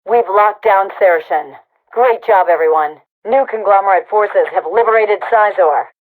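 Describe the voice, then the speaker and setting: A brisk, authoritative woman commanding a rebel army in a video game. Her voice sits at a medium female pitch and comes in clipped military announcements. It is squeezed through a narrow, tinny radio filter, like battlefield comms. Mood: satisfied, proud. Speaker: overseer giving battle announcements. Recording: tinny, band-limited radio comms sound.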